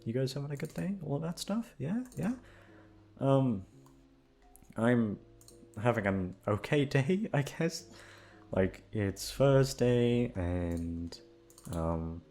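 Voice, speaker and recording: A young adult man who works as an ASMR voice actor, talking in an unhurried, informal way. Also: mid-range voice, sing-song, darkish tone, faint music behind. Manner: self-deprecating, halting. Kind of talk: casual, rambling chat to listeners.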